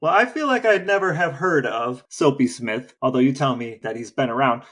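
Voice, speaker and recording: A man's voice: medium pitch, normal speed, fairly bright tone. A man co-hosting a casual podcast, quizzing his brother and explaining history. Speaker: podcast co-host. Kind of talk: casual chat.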